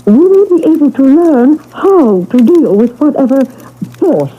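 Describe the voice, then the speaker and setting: An actress playing a solemn, fussy spirit medium in an old radio mystery, with a dramatic, deliberate way of talking. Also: female voice, sits high, big pitch swings, highs cut off. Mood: earnest, fussy. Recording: thin, noisy old radio broadcast.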